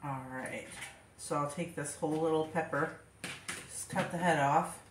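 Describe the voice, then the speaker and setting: A woman's voice: low voice, fairly even intonation, normal speed. A woman with a stuffed-up nose chatting casually through a kitchen demonstration, thinking aloud with fillers as she works at home. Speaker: home cook vlogger. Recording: echoey kitchen with background noise.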